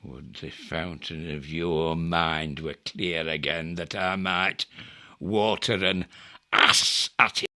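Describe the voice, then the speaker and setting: An actor playing a scurrilous, sneering Greek camp fool in a Shakespearean audio drama, with theatrical, exaggerated mockery. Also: male voice, deep voice, fairly even intonation, slow pace, bright, forceful tone, exaggerated voice. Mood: scornful.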